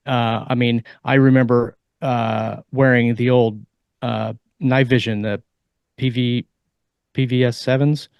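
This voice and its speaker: A man's voice: medium pitch, level tone, measured pace, gravelly, smooth, dry recording, lo-fi sound. Man talking casually, very dry close sound. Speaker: podcast co-host. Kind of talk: casual discussion of military technology.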